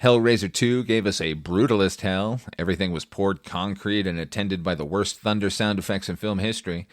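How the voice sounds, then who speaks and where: Deep-voiced man reviewing films. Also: a little fast, no echo, studio quality. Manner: wry, opinionated, emphatic asides; casual swearing. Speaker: film review podcast host. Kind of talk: conversational scripted film review.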